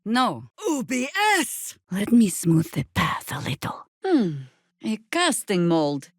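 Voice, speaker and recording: A woman playing a seasoned older druid warrior in a video game, wry and commanding, with dry, formal, archaic phrasing and a measured, weathered delivery. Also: medium pitch, sing-song, husky, no room sound, exaggerated voice. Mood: forceful. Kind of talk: video game character acting.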